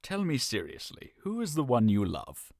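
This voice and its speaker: An earnest young cousin, voiced by an actor in an audio drama, coaxing and full of concern. His pitch rises and falls in a lively way, and the sound is dry and close. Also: normal speed, vocal fry, dry recording, exaggerated voice. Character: caring young male cousin, earnest friend.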